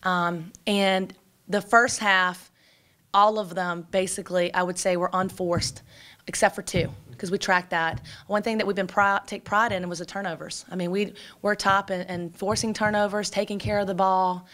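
A woman in her forties, a basketball coach, talking quickly and energetically at a postgame press conference, with many fillers and restarts, into a table microphone. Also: mid-range voice, steady tone, fairly bright tone. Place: press room before a sponsor backdrop. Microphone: table microphone in front.

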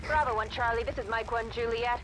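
A woman's voice: a little high, fairly even intonation, a little fast. A woman playing a dry, authoritative military commander with clipped delivery over a radio in a video game. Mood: businesslike. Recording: radio noise, vehicle ambience behind.

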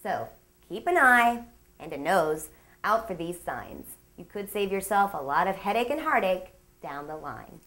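A woman hosts a boating show in an upbeat, scripted read. Her voice sits on the low side, and her pitch swings widely. She slips in playful asides between segments. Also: big pitch swings, unhurried, a bit bright. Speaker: show host. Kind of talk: scripted magazine-show narration.

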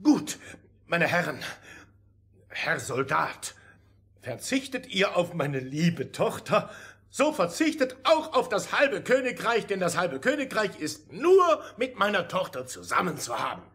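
A sly old king from a fairy-tale radio play. He is pompous yet flustered and whiny, with a high, very lively voice that takes its time. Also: a little slow, exaggerated voice. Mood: scheming. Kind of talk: theatrical radio play acting.

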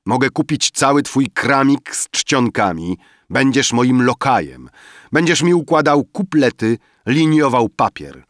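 A man's voice: medium pitch, big pitch swings, a little slow, no echo, clean studio sound, pitched above the performer's own voice. A man voicing a drunken, boastful young man who has suddenly come into riches, raving grandly. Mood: grandiose.

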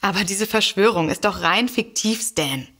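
A woman plays a sceptical writer in an audio drama. Her mid-pitched voice stays polite and matter-of-fact, with a dry edge. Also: moderate pace, a bit bright, lightly acted.

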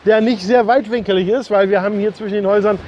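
A man's voice: high voice, steady tone, fast talker, a little mellow, highs cut off, slight background noise. A middle-aged or older man hosting a casual vlog, chatting to camera and often repeating his words. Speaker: vlog host. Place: outdoor rooftop terrace by the sea. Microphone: camera a few steps away.

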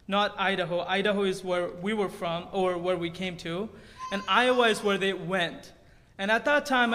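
A man's voice: high voice, a bit bright. A man, giving an earnest personal testimony at a church pulpit with light humor. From Nepal. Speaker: guest speaker at church. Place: large church sanctuary stage. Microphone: podium microphone. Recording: reverberant sanctuary sound.

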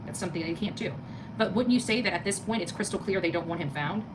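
Fast-talking American woman, lowish voice. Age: middle-aged. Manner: emphatic, indignant, repetitive. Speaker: live stream host. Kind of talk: casual livestream commentary, chatting with viewers. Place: small living room, indoors. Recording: clearly noisy home phone recording.

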